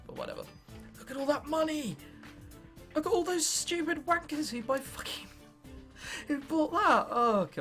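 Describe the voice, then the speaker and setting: A teenage man from England with an English accent, commentating on gameplay and thinking aloud in an excitable, sweary, self-mocking way. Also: high voice. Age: late teens. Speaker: gaming video host.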